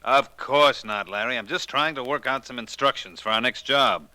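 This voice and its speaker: Sharp, patronising crime boss. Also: male voice, medium pitch, no room sound. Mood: smug, calm. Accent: American. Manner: measured, formal, condescending. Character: smooth, controlled crime boss, coolly patronising. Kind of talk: 1940s radio drama acting. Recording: hissy old 1940s radio recording.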